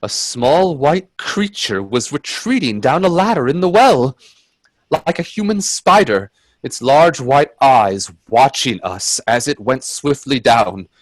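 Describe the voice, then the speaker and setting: A man playing an earnest, uneasy gentleman adventurer in a radio play, telling his tale with theatrical, hesitant suspense. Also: mid-range voice, lively intonation, takes it slowly, no echo. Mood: suspenseful, dread. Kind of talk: dramatic radio play narration and dialogue.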